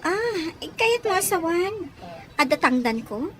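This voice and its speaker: A woman's voice: very high-pitched, very animated, slow pace, fairly bright tone, a little hiss or hum, heavily acted, poor audio. A woman playing a curious young woman in an audio drama, with an eager, questioning manner. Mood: inquisitive. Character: curious, inquisitive young woman fishing for information. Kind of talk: amateur audio drama acting.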